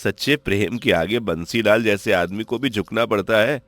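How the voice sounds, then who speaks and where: A man voicing a humble, lovestruck young village blacksmith, earnest and halting, in a Hindi cartoon story. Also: fairly low pitch, a bit bright, no echo, close to an everyday voice. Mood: hopeful, determined.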